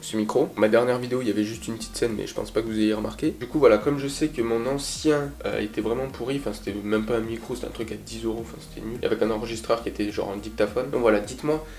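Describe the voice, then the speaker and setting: A man's voice: lowish voice, fairly even intonation, racing, hardly any pauses, darkish tone. A young man with a cold chatting casually to camera in his bedroom, rambling with lots of fillers, recorded on a cheap desk mic. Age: young adult. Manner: rambling, filler-heavy, self-deprecating. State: congested with a cold. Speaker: YouTuber. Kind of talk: casual vlog chat. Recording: echoey room with background music.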